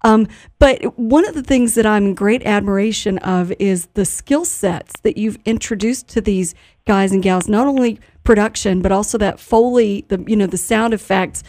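A woman's voice: mid-range voice, moderate pace, darkish tone, no echo. Woman radio host, close dry sound. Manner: effusive, complimentary. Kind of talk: conversational radio interview.

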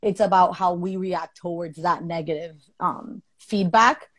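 A woman's voice: fairly low pitch, unhurried, a bit bright, no room sound. Young woman, from Colombia, earnest, thoughtful, filler words, dry close sound. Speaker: guest. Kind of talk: casual conversation.

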